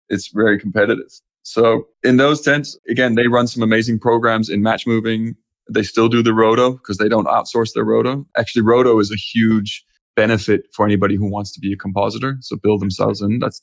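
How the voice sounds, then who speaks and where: A man's voice: fairly low pitch, vocal fry, dry recording. A man from Denmark, a guest in a casual interview, talking in a rambling way with many fillers and restarts. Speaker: guest, animation creative lead. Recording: dull lo-fi audio.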